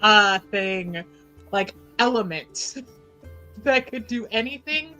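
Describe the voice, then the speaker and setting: A woman's voice: mid-range voice, expressive, slow, sharp tone, soft background music, low-quality recording. A woman chatting casually as a tabletop game player, thinking aloud with a giggly, informal manner.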